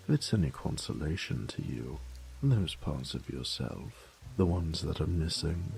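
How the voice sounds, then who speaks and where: A man's voice: a bass voice, creaky, a little mellow, exaggerated voice. A man playing an ancient, haughty, predatory vampire lord in an audio drama, with a pompous, condescending, theatrical drawl and whispered asides. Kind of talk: scripted roleplay audio drama.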